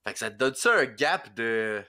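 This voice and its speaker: Stuffy-nosed young Québécois man, bright edge. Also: mid-range voice, expressive, normal speed. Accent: Québécois French. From rural Quebec. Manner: rambling, hesitant, with many fillers. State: stuffy with a head cold. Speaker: podcast guest and streamer. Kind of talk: casual chat.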